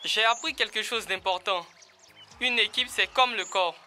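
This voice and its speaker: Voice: mid-range voice, normal speed, music in the background, lightly acted. An actor voicing an earnest, encouraging young animal team leader in an educational children's cartoon, explaining things in a didactic way.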